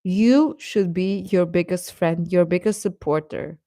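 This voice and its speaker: A woman's voice: fairly low pitch, measured pace, dark tone, no room sound, clean studio sound. A woman giving casual, reassuring advice as she chats with her livestream viewers in an encouraging way. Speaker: livestream host talking with young viewers.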